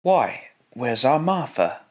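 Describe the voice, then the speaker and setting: A devoted working-class father in an old-style radio drama. He speaks tenderly and earnestly, in a medium-pitched voice. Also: big pitch swings, takes it slowly, a little mellow. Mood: dismayed.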